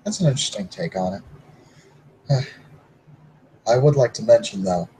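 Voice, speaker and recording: A man playing a low-voiced, musing werewolf. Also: expressive, warm tone, a little hiss or hum, rough recording. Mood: explaining. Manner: measured, muses with hums and pauses. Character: male werewolf warrior, measured and reasoning. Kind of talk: tabletop roleplay in character.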